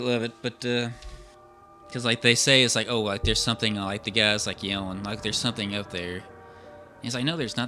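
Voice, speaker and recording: A man co-hosting a podcast in casual chat, rambling along in a halting way. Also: mid-range voice, bright, forceful tone. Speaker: podcast co-host.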